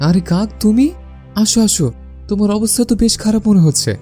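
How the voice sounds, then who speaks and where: A man's voice: high-pitched, fast talker, warm tone, soft background music, natural voice. A man voicing a friendly, sensible male bird in a cartoon, with a mild, earnest manner. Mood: concerned, welcoming. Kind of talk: scripted cartoon dialogue.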